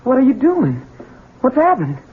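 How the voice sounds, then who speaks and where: A woman's voice: high voice, wildly swooping pitch, normal speed, a little hiss or hum. An actress playing an anxious, protective rural American mother of a grown son in a radio drama, folksy and imploring. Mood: alarmed, grieving. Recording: tinny band-limited old radio broadcast.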